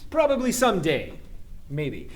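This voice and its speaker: A man's voice: high-pitched, wildly swooping pitch, unhurried, full character voice. A man playing a flippant, exasperated chosen one in a comedic sci-fi radio play, with a blustering, impatient manner.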